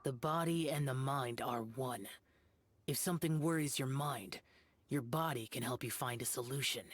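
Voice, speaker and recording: A woman's voice: extremely low pitch, moderate pace, a little hiss or hum. A woman voicing a stern, aloof young male warrior in a game, speaking curtly and lecturing. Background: game music.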